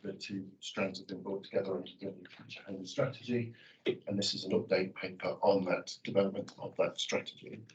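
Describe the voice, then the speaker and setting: Deep-voiced, croaky man presenting a report. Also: a bit bright, recorded from a distance, rough recording. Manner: formal, jargon-heavy, with fillers. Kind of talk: formal presentation.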